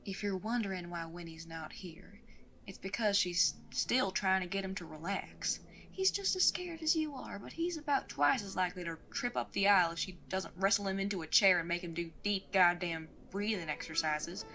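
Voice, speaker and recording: A woman's voice: mid-range voice, expressive, moderate pace, bright, forceful tone, slight background noise. A woman acting in an audio drama as a tough, tender outlaw mother, folksy, teasing and reassuring. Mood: matter-of-fact. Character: protective outlaw mother, wry, tough, tender with grown son.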